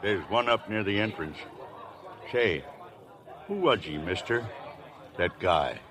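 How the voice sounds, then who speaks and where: A plain-spoken, curious local in an old radio play. His voice is very deep and he talks slowly. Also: expressive, fairly bright tone, full character voice. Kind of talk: 1950s American radio drama acting.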